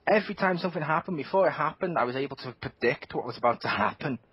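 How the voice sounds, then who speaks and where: A man co-hosting a casual podcast about video games, talking in an animated way with frequent laughs and quick restarts. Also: a little high, husky, no room sound, highs cut off. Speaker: podcast co-host. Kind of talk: casual gaming chat.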